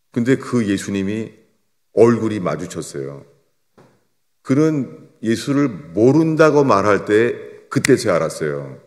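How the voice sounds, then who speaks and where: An older man preaching a sermon as a pastor, swinging between raised emphatic lines and low, quiet drops. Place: church sanctuary. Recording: long church reverb.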